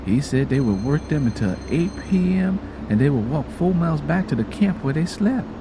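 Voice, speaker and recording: A man's voice: a little high, sing-song, normal speed, noisy background. A man voicing a sorrowful mother who recounts hard family history in a plainspoken, reflective, folksy way. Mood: somber.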